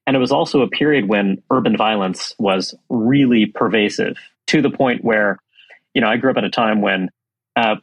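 A man's voice: lowish voice, moderate pace, dry recording, poor audio. A man from Brooklyn, New York, heading a think tank, talking in an interview with many fillers and restarts. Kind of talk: conversational interview.

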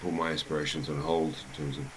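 A man with a New Zealand accent, a rugby coach telling his life story as an interview guest, in an unhurried way with many pauses. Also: very deep voice, level tone, fairly bright tone, slight background noise.

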